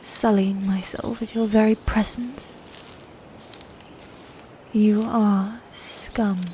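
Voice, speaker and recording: A proud, possessive female knight played by a woman speaks slowly and scornfully in a medieval ASMR roleplay. Her voice is soft-toned, breathy and slightly high, and her wording is formal and commanding. Faint noise sits behind her. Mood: scornful, stern. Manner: formal archaic diction, commanding, contemptuous. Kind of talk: dominant ASMR fantasy roleplay.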